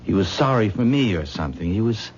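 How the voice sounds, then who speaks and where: An actor playing a troubled young man who tells of a strange dream in a hushed, hesitant way in a 1970s radio drama. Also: lowish voice, animated, fairly quick, close to an everyday voice. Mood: uneasy. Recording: dull old radio broadcast with faint hiss.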